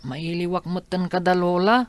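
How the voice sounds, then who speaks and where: A woman's voice: on the low side, little pitch movement, normal speed, dry recording, lightly acted. A woman playing a wistful young child in a radio drama, with a plaintive, questioning manner.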